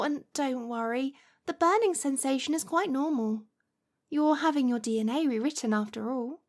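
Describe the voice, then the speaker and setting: A woman playing a lovestruck, possessive witch in an ASMR roleplay, cooing and coaxing with a condescending sweetness. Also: sits high. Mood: smug, coaxing.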